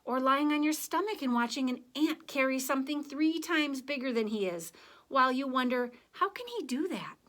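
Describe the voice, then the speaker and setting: A woman reading a children's picture book aloud, with deliberate, expressive phrasing and conversational asides to young listeners. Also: high voice. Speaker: children's story reader.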